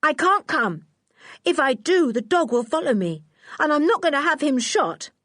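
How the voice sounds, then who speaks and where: A woman's voice: high-pitched, very animated, moderate pace, a bit bright, no echo, studio quality, pitched above the performer's own voice. A woman voicing a fierce, brave tomboyish girl. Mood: defiant.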